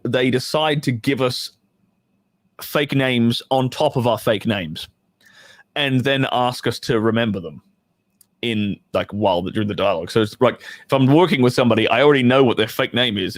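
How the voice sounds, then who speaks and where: A man's voice: medium pitch, no echo. A man chatting casually and informally to livestream viewers, thinking aloud as he reads from a script, with banter and some mild swearing. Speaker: adult film actor reading a script to viewers.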